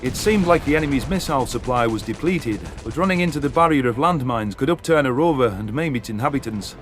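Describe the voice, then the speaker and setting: A man's voice: mid-range voice, animated, fast talker, soft background music. A man narrating a dramatic first-person science fiction story as an audiobook.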